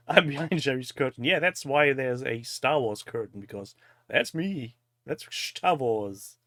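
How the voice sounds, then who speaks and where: A man's voice: mid-range voice, lively intonation, takes it slowly, a bit bright, dry recording. A man from Germany with a German accent, a native German speaker, hosting a casual live-stream chat in a jokey, teasing manner. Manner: jokey, crude humour, teasing.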